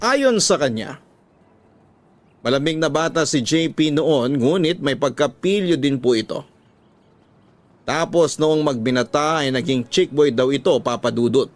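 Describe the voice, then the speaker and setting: A man's voice: a little high, lively intonation, a little slow, no echo. A man with a Filipino accent reading a first-person story as scripted, confiding radio drama narration. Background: background music.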